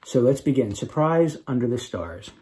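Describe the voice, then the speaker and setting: A man reading aloud to children as an author, with expressive, dramatic emphasis and casual asides. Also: medium pitch, moderate pace, husky. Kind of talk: animated storybook read-aloud with casual asides.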